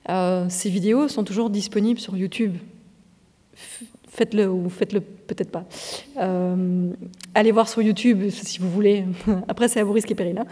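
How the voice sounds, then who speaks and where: Female presenter, training session, relaxed explanatory delivery, warm tone, hall reverb. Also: medium pitch, moderate pace. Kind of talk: presentation to an audience.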